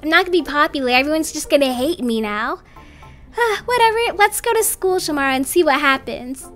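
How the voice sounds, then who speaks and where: Woman voicing a shy sceptical schoolgirl, very high voice, lively swings, dramatic teen talk, cartoon roleplay, music behind. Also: fairly quick. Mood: doubtful, sulky. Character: shy, sceptical nerdy schoolgirl turning popular. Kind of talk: animated dollhouse roleplay story.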